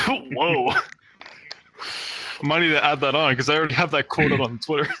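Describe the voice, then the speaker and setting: Podcast guy throwing in dry one-liners, mid voice, bright tone. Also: fairly high pitch, big pitch swings, unhurried, gravelly, a little hiss or hum. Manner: dry quips thrown in from the side. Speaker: podcast co-host. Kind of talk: casual chat.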